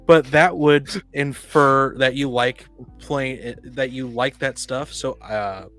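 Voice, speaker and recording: A man in a casual panel discussion on a podcast, talking in a halting, rambling way and often restarting his sentences. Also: medium pitch, fairly even intonation, fairly bright tone, soft background music.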